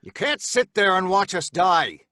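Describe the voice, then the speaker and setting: An anxious crook in an old-time radio play, both pleading and narrating. His voice is fairly high, urgent and earnest, recorded dry. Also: expressive, unhurried, pushed and bright, no room sound, exaggerated voice. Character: anxious, pleading male robber telling his tale.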